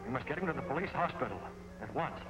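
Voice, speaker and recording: An actor playing a decisive hero taking charge, in 1940s film serial style. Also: male voice, mid-range voice, animated, fast, close to an everyday voice, low-quality recording. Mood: urgent. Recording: 1940 film soundtrack, loud music.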